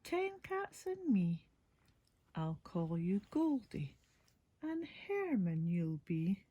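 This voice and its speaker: A kindly storybook cat lady, played by a woman reading aloud, very breathy and affectionate. She speaks in a welcoming sing-song, with pitch that leaps about and a leisurely pace. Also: big pitch swings, extremely slow, warm tone, no room sound, heavily acted. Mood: doting, welcoming. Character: kindly, doting woman who lives with many cats. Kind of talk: bedtime storybook character reading.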